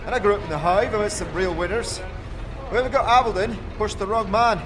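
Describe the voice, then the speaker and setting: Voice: mid-range voice, brisk, background noise, pitched above the performer's own voice, close to an everyday voice. A man voicing a bitter, surly foundry worker who talks bluntly and sardonically.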